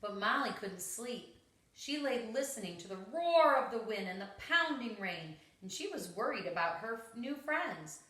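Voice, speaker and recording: Bright-voiced woman reading aloud, very echoey room. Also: fairly high pitch, moderate pace. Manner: expressive, dramatic emphasis. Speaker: storybook reader. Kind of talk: scripted children's story read-aloud. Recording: roomy, echoing home recording.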